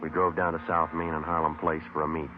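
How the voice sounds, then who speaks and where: An actor playing a terse, matter-of-fact police sergeant who narrates and questions in an old radio crime drama. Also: male voice, extremely low pitch, flat delivery, quick pace, background noise. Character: terse, businesslike male police sergeant narrating his case. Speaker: lead detective and narrator. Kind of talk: clipped procedural radio drama narration and dialogue. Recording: tinny vintage radio recording.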